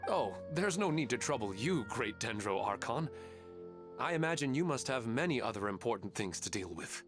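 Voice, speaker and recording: A man's voice: medium pitch, big pitch swings, moderate pace, over music, natural voice. A man playing a grieving widowed father in a video game, polite, reflective and hesitant.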